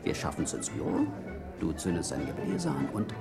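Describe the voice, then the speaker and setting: Ruthless, gravelly burglar boss. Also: male voice, deep voice, huge pitch swings, normal speed, heavily acted. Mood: scheming, confident. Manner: bossy, urgent, conspiratorial. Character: ruthless, impatient male burglar, cold-blooded ringleader. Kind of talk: German radio crime drama acting. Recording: vintage radio play with music.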